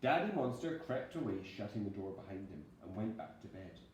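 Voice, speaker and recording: Male teacher, storybook narrator: lowish voice, dramatic delivery, heavy room echo, faint background noise. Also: animated, brisk, a little mellow. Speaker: schoolteacher reading to children. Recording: very echoey room, distant mic, faint noise.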